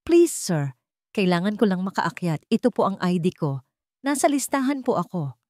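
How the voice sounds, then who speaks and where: A woman's voice: medium pitch, sing-song, normal speed, dark tone, no echo, clean studio sound, close to an everyday voice. A woman voicing an earnest, pleading young man. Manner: polite, anxious, pleading. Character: earnest, pleading, nervous young male job applicant.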